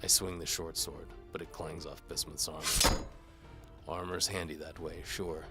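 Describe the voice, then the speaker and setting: A man narrating an audio drama in the first person as a world-weary mercenary detective, with a wry, deadpan, gravelly delivery. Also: rumbling low, measured pace. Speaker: narrator and lead actor. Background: music.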